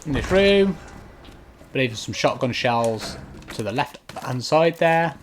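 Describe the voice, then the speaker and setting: Male gameplay commentator, mid-pitched, casual step-by-step guide, faint typing and clicking behind. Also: lively intonation, a little slow. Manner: explanatory, step-by-step guiding. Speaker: video game walkthrough commentator. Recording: faint keyboard and click noise.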